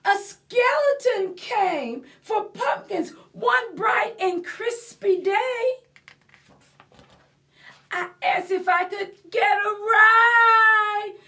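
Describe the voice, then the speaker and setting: A forlorn little storybook pumpkin, acted out by a woman, pitched way up high. It tells its tale in slow, stretched-out rhyme. The manner is plaintive and highly theatrical. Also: bright, forceful tone, recorded from a distance, exaggerated voice. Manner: plaintive, theatrical, drawn-out rhyming delivery. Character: forlorn, dramatic ugly little pumpkin telling its life story. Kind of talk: storybook character read-aloud.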